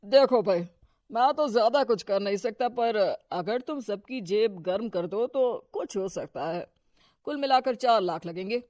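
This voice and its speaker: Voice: mid-range voice, expressive, normal speed, darkish tone, dry recording, close to an everyday voice. Someone doing a bossy crooked politician husband, middle-aged guy, cartoon story, talks down to people, dry sound. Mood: sly, coaxing. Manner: bossy, dismissive. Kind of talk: Hindi cartoon moral story acting.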